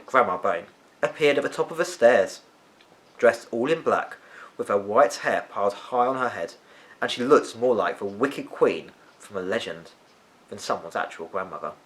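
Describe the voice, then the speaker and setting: A man reading a children's story aloud as an expressive narrator. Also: on the low side, normal speed, low-quality recording.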